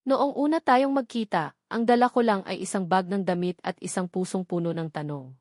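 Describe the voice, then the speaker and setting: A woman voicing a young bride who once worked as a maid, speaking with a steady, grateful voice. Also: mid-range voice, moderate pace, no room sound, studio quality, close to an everyday voice. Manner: steady, firm voice.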